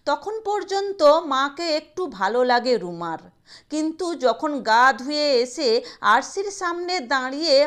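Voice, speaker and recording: A high-pitched female storyteller reads literary fiction at a very slow, measured pace. Her narration is expressive, in the manner of an audio short story.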